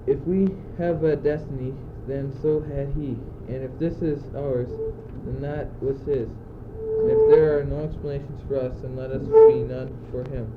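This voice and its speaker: A man's voice: mid-range voice, lively intonation, measured pace, few pauses, natural voice. A teenage male student acting an anguished, philosophical courtier facing death in an amateur radio play, speaking in earnest declamation. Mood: grave, intense. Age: teens. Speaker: student actor. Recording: muffled, noisy old amateur recording.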